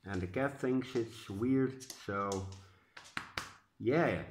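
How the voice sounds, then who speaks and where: A man's voice: lowish voice, extremely slow, darkish tone, slight background noise. A man with a Dutch accent giving a casual home update, a bit stuffy and congested, talking hesitantly with lots of uh's. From Netherlands. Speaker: orchid grower vlogger. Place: indoors at home.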